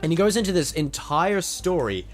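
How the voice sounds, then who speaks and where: A man's voice: on the high side, normal speed, soft background music. Man with a slight Australian lilt. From Canada. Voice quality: rambling, restarts a lot, gets excited. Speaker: podcast co-host. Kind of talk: casual storytelling chat.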